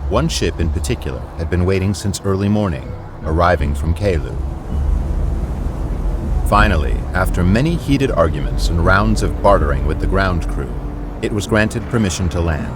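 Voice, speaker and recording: A man's voice: low-pitched, lively intonation, moderate pace, noisy background. A man giving scripted narration for an audio fiction series.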